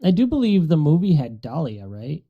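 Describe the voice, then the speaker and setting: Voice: medium pitch, sing-song, normal speed, dull audio. A podcast co-host talking casually in a group chat.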